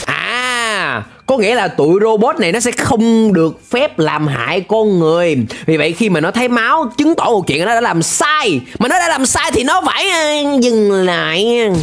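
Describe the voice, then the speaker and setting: Animated, high-pitched young Southern Vietnamese man. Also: normal speed, hardly any pauses. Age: young adult. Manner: exclamatory, animated. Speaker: reaction video host. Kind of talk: excited reaction commentary. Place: indoor room, gaming setup. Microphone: close desk microphone. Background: music and cartoon soundtrack.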